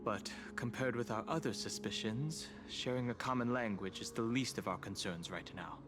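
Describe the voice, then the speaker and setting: Guy voicing a composed brainy traveler in a game, formal and dry, medium pitch, music playing behind. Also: close to an everyday voice. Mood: pensive. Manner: measured, formal, analytical. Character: thoughtful, reserved male traveler, analytical and dryly composed. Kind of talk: video game voice acting.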